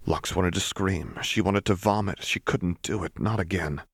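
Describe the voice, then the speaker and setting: A man's voice: low-pitched, normal speed, breathy edge, dry recording, studio quality. A man reading scripted fiction as an audiobook narrator, in a dramatic storytelling style.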